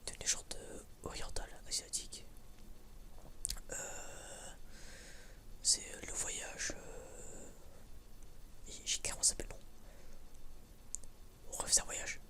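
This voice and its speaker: A man's voice: slow, long pauses. A young man giving a casual ASMR chat in a whisper, rambling and hesitant, in informal, slangy words. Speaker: ASMR creator.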